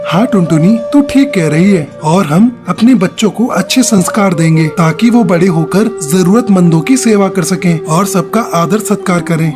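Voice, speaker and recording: A woman's voice: on the low side, moderate pace, hardly any pauses, music in the background, natural voice. A woman voicing an earnest, agreeable adult male pigeon, a husband in a cartoon.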